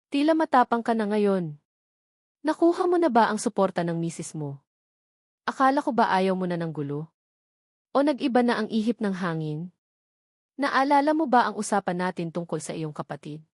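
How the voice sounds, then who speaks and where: A woman's voice: mid-range voice, sing-song, dry recording, clean studio sound, natural voice. A woman playing a smug, scheming male politician who taunts with false sweetness in an audio drama. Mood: smug, threatening. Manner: slow, honeyed taunting.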